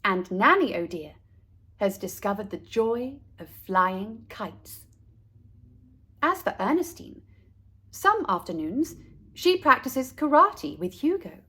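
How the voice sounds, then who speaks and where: A woman reads a children's story aloud in a high voice. She narrates slowly and expressively, with pitch that rises and falls widely. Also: very animated, pushed and bright, breathy edge.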